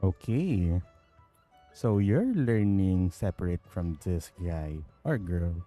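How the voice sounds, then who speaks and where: A man's voice: low voice, lively intonation, slow, mellow, faint music behind. A man with a Filipino accent giving casual, offhand commentary as he streams a game. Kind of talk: casual live gameplay commentary.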